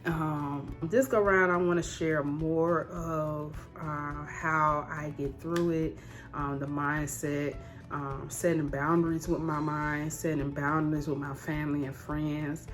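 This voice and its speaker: Female vlogger, thirties, low register, candid and conversational with emphatic repeats, background music. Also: little pitch movement, slow pace. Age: 30s. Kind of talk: casual personal vlog to camera.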